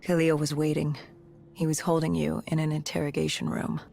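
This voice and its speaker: A woman playing an intense, haunted soldier in a video game, speaking in a grave, hushed and halting way. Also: low voice, completely flat delivery, creaky, a little hiss or hum. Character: haunted, intense female soldier recounting the apocalypse. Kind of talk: dramatic video game voice acting.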